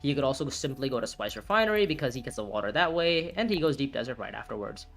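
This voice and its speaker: A man in his early twenties streaming a board game, thinking his moves aloud, reacting loudly and swearing at bad turns. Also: mid-range voice, sing-song, quick pace, faint music behind. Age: 20s. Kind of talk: live gameplay commentary, thinking aloud.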